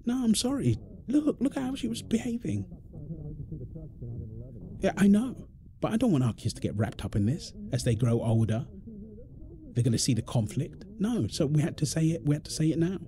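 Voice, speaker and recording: A man acts an indignant, protective British husband in an audio roleplay. His voice sits a little high for a man and swings widely in pitch, with a soft, mellow edge to the tone. He speaks emphatically, like someone at the end of his patience. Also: sing-song, moderate pace, long pauses, slight background noise. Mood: apologetic, reassuring. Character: exasperated, protective husband arguing with his overbearing mother-in-law. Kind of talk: ASMR roleplay drama monologue.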